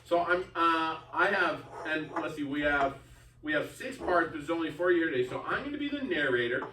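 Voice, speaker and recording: A man's voice: a little high, animated, a little fast, bright, forceful tone. A man teaching an elementary class informally, chatting and breaking off to correct pupils. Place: indoor classroom. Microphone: distant room microphone. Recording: roomy, distant mic, faint background noise.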